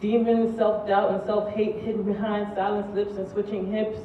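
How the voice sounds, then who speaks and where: A young woman recites a poetic monologue with deliberate rhythm. She sounds far from the mic on a roomy stage, in a muffled recording. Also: medium pitch, flat delivery, warm tone. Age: young adult. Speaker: student spoken-word performer. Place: stage in a large room. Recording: muffled, echoey, distant, faintly noisy.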